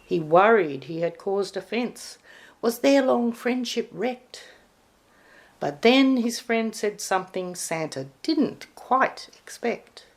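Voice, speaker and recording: A woman reading a rhyming poem aloud in an expressive storybook narration style. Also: mid-range voice, slow. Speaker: poem reader.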